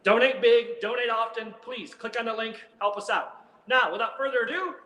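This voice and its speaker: A man's voice: very high-pitched, moderate pace, bright, forceful tone. A man hosting a live fundraiser, making an informal, emphatic and pleading appeal to listeners. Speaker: fundraiser host at a radio station. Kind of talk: live unscripted donation appeal. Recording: echoey room with faint noise.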